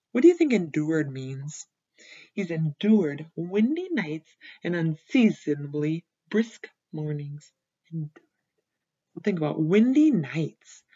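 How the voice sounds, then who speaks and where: A young woman teaching first grade, reading a picture book aloud and asking the children gentle questions about words, on a laptop webcam at home. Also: sits low, breathy edge, muffled recording. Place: room at home, indoors.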